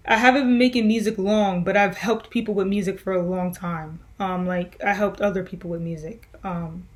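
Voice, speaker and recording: A young woman musician answering viewer questions in a loose, rambling way, with many fillers and restarts. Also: medium pitch. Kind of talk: casual Q&A vlog.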